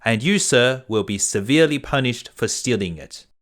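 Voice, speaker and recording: A huge, fearsome male beast from a storybook, as a man reading aloud plays him. He is loud and threatening, stretching his words out with menace. The pitch moves up and down widely. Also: animated, close to an everyday voice. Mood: angry, threatening. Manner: loud, slow, menacing delivery. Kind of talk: children's fairy tale storybook reading.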